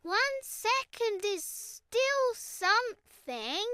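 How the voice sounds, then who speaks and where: A man voicing an anxious young girl princess in a bedtime story, speaking softly and hesitantly. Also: extremely high pitch, big pitch swings, extremely slow, no echo, pitched above the performer's own voice, heavily acted. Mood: worried. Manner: soft, hesitant, small-voiced. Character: shy little girl princess, anxious and earnest.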